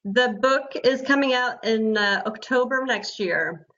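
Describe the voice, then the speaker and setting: A woman's voice: on the high side, a little slow, a bit bright. A middle-aged woman from Sweden, a native Swedish speaker, answering questions as a guest in a casual interview. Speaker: interview guest, author and blogger. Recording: slightly muffled online call audio.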